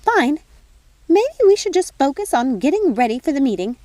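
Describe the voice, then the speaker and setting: A prim, easily vexed fairy godmother from a storybook. The voice sits well above an ordinary speaking pitch and squeals as it chides. It is effusive and bossy, with very little room sound around it. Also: very animated, moderate pace, darkish tone, no echo. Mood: fussy, disapproving. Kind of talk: audiobook character voice.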